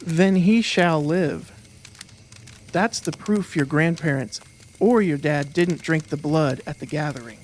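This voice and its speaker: A man's voice: fairly high pitch, measured pace, slight background noise, lightly acted. A man playing an earnest, solemn schoolboy in an audiobook drama. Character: earnest schoolboy Austin, questioning then solemn. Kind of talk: audiobook acting.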